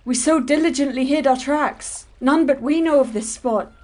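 A woman's voice: high-pitched, moderate pace, darkish tone, lightly acted. A woman playing Edwin, a devout, visionary young man, speaking in earnest, old-fashioned dialogue for a drama. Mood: alarmed.

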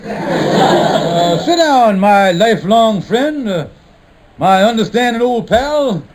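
An actor playing a sheepish, scheming married lodge leader in a 1950s radio sitcom, hesitant and evasive with folksy, ungrammatical speech. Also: male voice, high-pitched, expressive, takes it slowly. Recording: noisy 1950s radio broadcast recording.

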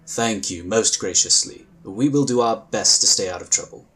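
An actor playing a calm, courteous psionic inquisitor in a sci-fi audio drama, with measured, formal phrasing. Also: male voice, fairly low pitch, breathy edge. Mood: polite, diplomatic.

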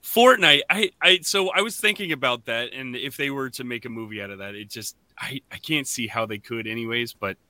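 A man co-hosting a podcast in casual chat, often halting and restarting his thoughts. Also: medium pitch, pushed and bright, no echo. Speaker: podcast co-host.

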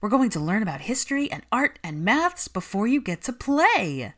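A woman voicing a giddy, chirpy young nanny witch in an audiobook, animated and perky. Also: on the high side, moderate pace, pitched above the performer's own voice. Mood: bubbly cheer. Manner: animated, perky, sing-song with excitement. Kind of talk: audiobook character voice.

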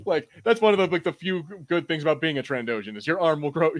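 A man co-hosting a podcast in casual chat, talking like an excitable fan and often repeating and rephrasing himself. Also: fairly high pitch, quick pace. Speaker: podcast co-host.

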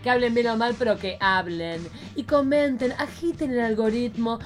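A woman's voice: on the high side, moderate pace, faint music behind. A woman hosting a podcast, chatting casually in a playful, campy, theatrical way. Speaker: podcast host.

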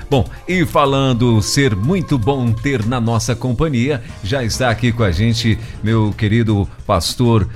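Chatty Brazilian radio host, faint music. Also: male voice, mid-range voice, measured pace, few pauses. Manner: chatty, many tag questions. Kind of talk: morning radio chat.

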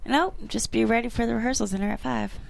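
A woman's voice: on the high side, fast talker, creaky. A woman at a script table read, playing a breezy young bride-to-be.

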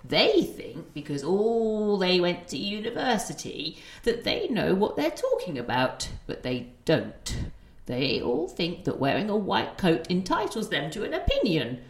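Audio play: haughty elderly gran, sarcastic, slow delivery with big swoops. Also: female voice, fairly low pitch, big pitch swings, echoey room. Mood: indignant. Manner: haughty, clipped retorts, sarcastic. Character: proud, sharp-tongued elderly grandmother, retired nurse, defensive.

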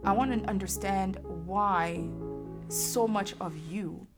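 A woman's voice: mid-range voice, fairly even intonation, takes it slowly, over music. A woman hosting an interview, asking curious and engaged questions. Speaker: host, interviewer. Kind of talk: conversational interview.

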